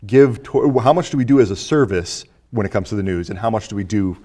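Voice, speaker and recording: A man with a moderately low voice hosts a casual discussion. He is inquisitive and piles one question on another as he thinks aloud. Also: lively intonation, fast, mellow. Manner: inquisitive, builds questions in layers. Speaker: host posing discussion questions.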